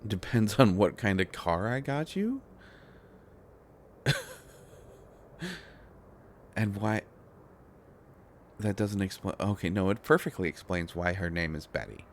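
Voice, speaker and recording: A man acting a shy, flustered, devoted inventor boyfriend in an intimate roleplay audio drama, talking in halting, stammering rambles. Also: deep voice, expressive, slight background noise, exaggerated voice. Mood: playful, affectionate.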